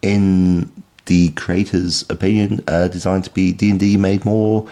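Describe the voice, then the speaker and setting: A man's voice: low voice, level tone, unhurried, warm tone. A British man co-hosting a podcast, chatting casually with a droll, slightly mock-formal turn of phrase. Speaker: podcast host. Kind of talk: casual conversational chat.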